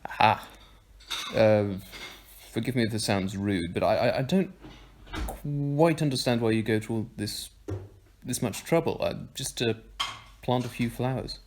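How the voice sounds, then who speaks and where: A man's voice: medium pitch, measured pace, pauses often, darkish tone, lightly acted. An actor playing a flippant, blunt young man in an audio drama, with a hesitant, wordy delivery. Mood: hesitant, polite. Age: young adult. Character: flippant, blunt young man, reluctant new club member. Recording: clearly noisy home recording with clicks.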